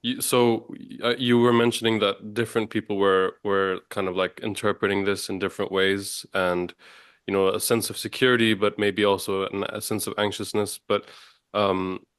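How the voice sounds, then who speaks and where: A man's voice: on the low side, flat delivery, normal speed, a bit bright, smooth, no echo, studio quality. A man hosting a news podcast, talking casually and hesitantly with many fillers and restarts. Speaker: podcast host.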